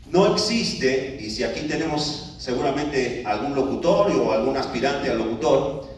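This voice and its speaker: A man's voice: fairly high pitch, a little hiss or hum. A man giving a live talk to an audience, in a conversational way with many filler words and restarts. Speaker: speaker addressing an audience. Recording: echoey room, picked up from a distance.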